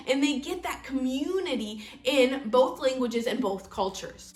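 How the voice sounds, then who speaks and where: Woman, 30s, homeschool vlog host, high voice, earnest explainer, echoey room. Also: fairly bright tone. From Kansas, United States. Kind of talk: casual vlog talk to camera.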